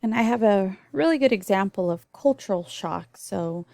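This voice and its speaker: A woman's voice: mid-range voice, expressive, normal speed, vocal fry, darkish tone, no echo. Woman lecturing on nursing, explanatory with frequent ums and restarts, recorded dry. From El Salvador, raised in the US. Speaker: nursing instructor.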